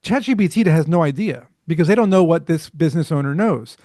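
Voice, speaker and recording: A man, a startup founder, talking as a podcast guest in an energetic, fluent way with rhetorical questions. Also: a little high, fairly quick, no echo, clean studio sound. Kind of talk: casual interview chat.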